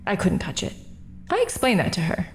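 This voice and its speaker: A woman's voice: medium pitch, wildly swooping pitch, brisk, faint music behind. A woman playing a brash witness at an inquest, flippant and defensive in an audio drama. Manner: defensive, flippant, laughs things off. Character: brash, self-justifying woman on the stand.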